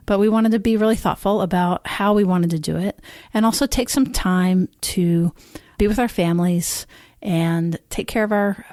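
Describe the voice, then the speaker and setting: A woman's voice: mid-range voice, warm tone, no room sound, clean studio sound. A woman co-hosting a therapy podcast, talking in a reflective, sincere way. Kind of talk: casual conversational podcast chat.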